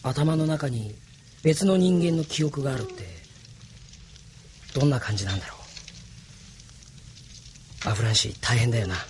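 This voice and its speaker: A man's voice: medium pitch, normal speed, long pauses, darkish tone, slight background noise, lightly acted. An actor playing a mild, sympathetic young male resistance fighter who talks casually and thoughtfully in an anime audio drama. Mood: pensive, sympathetic.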